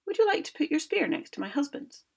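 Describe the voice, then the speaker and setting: A woman voicing a clever, bossy giant's wife who talks in a stern, brisk and commanding way. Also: high voice, very animated, creaky, voice pushed up, exaggerated voice.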